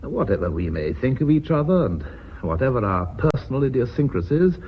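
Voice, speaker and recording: A man's voice: mid-range voice, very animated, brisk, lightly acted. An actor playing a genial, courteous older British company chairman, speaking formally in a radio drama. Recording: slightly dull, faintly noisy radio recording.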